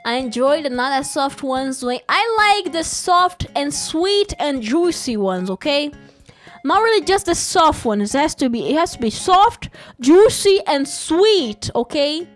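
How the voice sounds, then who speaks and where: A woman's voice: sits high, very animated, unhurried. A young woman, chatting casually and playfully on a live stream, giggling often and rambling. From Brazil. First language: Portuguese. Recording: faint music behind.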